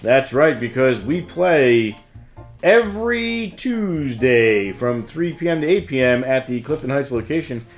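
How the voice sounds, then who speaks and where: A man with a medium-pitched voice co-hosts a local store news show. He delivers scripted announcements in a casual, bantering way. Music plays behind him.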